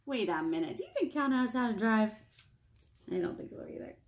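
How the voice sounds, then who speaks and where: An American woman in her thirties leading a picture-book storytime for small children, playful and expressive, asking them questions. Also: a little high, fairly quick. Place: small indoor room with whiteboard.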